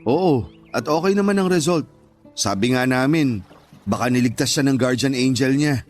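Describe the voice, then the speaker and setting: A man acts out a sympathetic Filipino friend in a radio drama. He is gentle and flattering, with an average-pitched male voice. Quiet music runs underneath. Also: moderate pace, close to an everyday voice. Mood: chatty. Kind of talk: conversational radio drama acting. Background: background music.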